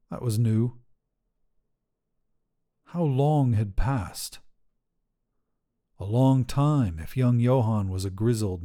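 A man narrating a scripted short story for a podcast in measured, deliberate phrases with pauses. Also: medium pitch, mellow.

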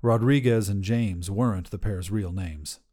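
Low, mellow male story narrator. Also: unhurried. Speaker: fiction podcast narrator. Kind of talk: scripted short story narration.

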